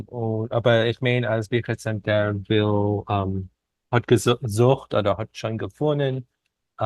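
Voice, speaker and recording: A man interviewed as a guest poet, speaking a learned second language in hesitant, deliberate short phrases with pauses. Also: on the low side, no echo. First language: not a native speaker of Yiddish. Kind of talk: reflective interview conversation.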